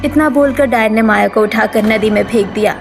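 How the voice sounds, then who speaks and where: A woman's voice: a little high, brisk, gravelly, soft background music. A woman narrator reading a scripted cartoon story.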